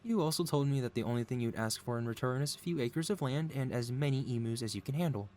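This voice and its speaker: A man voices a mad scientist villain for an intimate ASMR roleplay. He is a mid-pitched male schemer who coaxes and flatters the listener with soothing devotion. Beneath the innocent act, he is possessive. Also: fast talker, a little hiss or hum, natural voice. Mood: adoring, coaxing. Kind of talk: ASMR audio roleplay, intimate one-sided dialogue.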